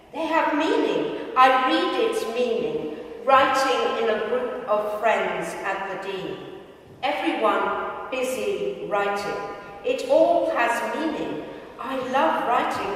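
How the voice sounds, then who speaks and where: A woman reads poetry aloud at an unhurried, deliberate pace, pausing between phrases. Her voice rings around a big hall with a long reverb, picked up at a distance from the microphone. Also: high-pitched, a bit bright. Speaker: reader at a live literary event. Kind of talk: reading poems and short prose aloud to an audience. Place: large indoor gym hall at a live reading.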